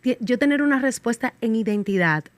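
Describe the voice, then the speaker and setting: A woman's voice: a little high, lively intonation, brisk, dry recording. A woman from the Dominican Republic, a podcast guest, speaking candidly in casual interview chat, reflective with wry humor.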